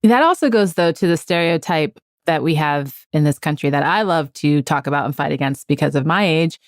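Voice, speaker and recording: Frank low-voiced woman in her forties. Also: a little fast, dry recording, studio quality. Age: 40s. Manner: frank and outspoken, swears freely. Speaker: podcast host. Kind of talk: casual podcast conversation.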